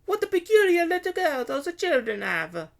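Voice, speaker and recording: A narrator voicing a scornful, loud woman stall keeper with a booming, haughty delivery in a story. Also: sing-song, moderate pace, creaky, full character voice.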